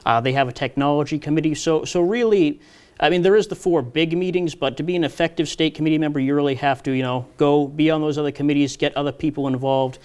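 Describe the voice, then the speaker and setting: A man, a political candidate answering interview questions in a loud, brisk, no-nonsense way. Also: fairly high pitch, smooth.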